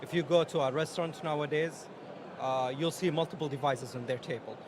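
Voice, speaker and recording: Saudi man interviewed amid loud noise. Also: fairly high pitch, a little fast. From Saudi Arabia. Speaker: company representative being interviewed. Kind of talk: casual interview. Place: busy exhibition hall. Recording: loud trade show floor noise.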